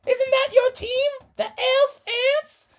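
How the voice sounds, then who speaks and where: A woman storyteller voicing a giddy, overexcited team mom in a shrieking, squealing high voice. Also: fairly even intonation, slow pace, a little hiss or hum, full character voice.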